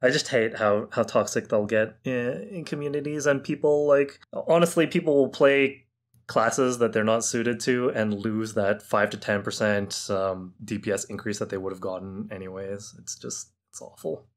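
A man's voice: lowish voice, fairly even intonation, moderate pace. A man chatting casually and bluntly as a panelist on a gaming podcast. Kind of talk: casual, opinionated gaming chat.

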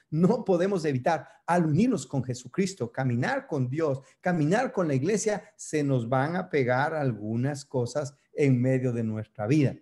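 A man's voice: fairly high pitch, mellow. A man in his fifties from Ecuador, preaching an earnest sermon to a webcam from an office, gesturing as he speaks. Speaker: pastor. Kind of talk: sermon over video. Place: office indoors. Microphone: webcam close up.

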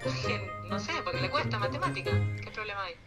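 A woman's voice: mid-range voice, expressive, fast, music in the background. Insistent Argentine mother on speakerphone. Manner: insistent, defensive. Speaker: mother, prank-call target. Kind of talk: phone argument. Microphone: heard over phone speaker.

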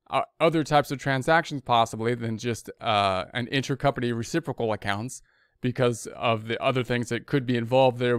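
A man's voice: mid-range voice, a bit bright, clean tone, dry recording, clean studio sound. An American man giving an informal explanatory lecture as an instructor, talking conversationally and often rephrasing himself. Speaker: accounting instructor.

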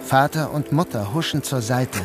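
A man's voice: medium pitch, normal speed, over music, close to an everyday voice. A man acting a troubled, bewildered son who narrates in a literary first-person radio drama. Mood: dreamlike, brooding. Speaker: narrator and protagonist.

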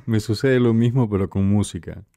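Mellow-voiced man from Ecuador hosting. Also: fairly low pitch, lively intonation, unhurried. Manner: friendly, short prompts, laughs along. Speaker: podcast host. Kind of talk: casual interview. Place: dim small studio room, indoors. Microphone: studio microphone close up.

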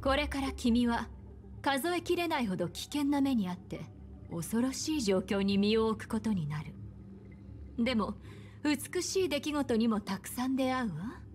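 A woman voicing a calm, enigmatic, seductive woman in an anime game, speaking slowly and soothingly with gentle command. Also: on the high side. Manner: slow, deliberate, soothing. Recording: background music.

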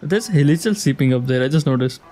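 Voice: mid-range voice, expressive, fast talker, warm tone. A streamer giving casual, giggly playthrough commentary, reacting to the characters. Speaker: streamer playing a game. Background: game music.

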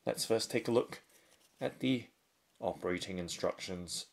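A man giving unhurried, step-by-step product unboxing commentary indoors at a tabletop. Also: deep voice, gravelly. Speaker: product reviewer. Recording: faint handling and crinkling noise. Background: faint clicks and packaging crinkle.

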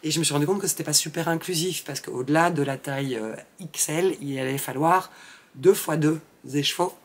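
A woman's voice: sits low, fairly quick. A woman in her forties, a knitwear designer and wool dyer, chatting casually on her podcast from a home craft room, rambling with many fillers and restarts into a small lapel mic.

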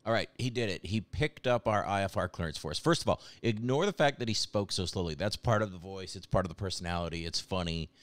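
Deep, clear-voiced man giving a demo. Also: fast talker, hardly any pauses, no room sound. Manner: explanatory, conversational. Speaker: software demo presenter. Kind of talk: casual screen-share demonstration narration.

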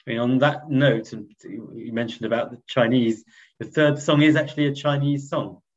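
A male rabbi interviews his guest with measured, slightly halting questions. His voice comes through with a dull, lo-fi tone. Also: medium pitch, fairly even intonation. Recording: dull lo-fi sound.

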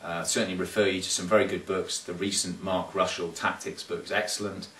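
Deep-voiced man explaining in a roomy room. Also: flat delivery, brisk, a bit bright. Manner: thoughtful, hesitant with frequent fillers and restarts. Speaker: sailing coach. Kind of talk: casual instructional talk to camera. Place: small carpeted room indoors. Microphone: camera mic from a few feet away. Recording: roomy, distant camera audio.

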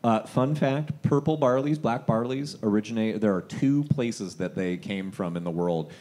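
A man's voice: on the low side, normal speed, dark tone. A man, a head brewer and guest on a stage panel, chatting casually with many fillers and restarts.

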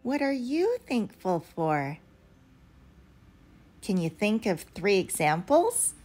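A woman's voice: medium pitch, sing-song, takes it slowly, a little mellow. A woman reading a picture book aloud expressively for young children, as a storyteller. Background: background music.

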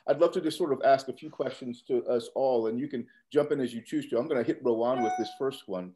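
A man's voice: medium pitch, fast, mellow. An older man moderating a panel over a video call, talking with many hesitant fillers. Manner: hesitant, filler-heavy speech.

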